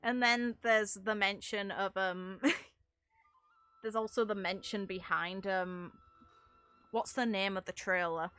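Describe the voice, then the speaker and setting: A woman's voice: mid-range voice, steady tone, slow, pauses often, bright, forceful tone, smooth, dry recording, faint music behind. A young adult woman giving casual, rambling game commentary in an informal, amused way, as a streamer.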